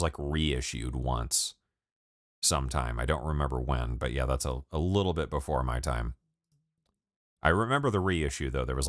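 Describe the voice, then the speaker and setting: A young man with a very low voice rambles through loose, profane chat on a live stream. He laughs often, and his voice sounds dry and close to the mic with no room around it. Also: fairly bright tone, no echo. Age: 20s. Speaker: music producer streaming live. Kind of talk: casual livestream chat with viewers.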